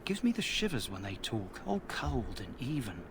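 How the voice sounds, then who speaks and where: A man's voice: mid-range voice, big pitch swings, moderate pace, noisy background. An actor playing a friendly, chatty male soldier in a video game, talking in a folksy, gossipy way. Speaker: soldier in a war camp.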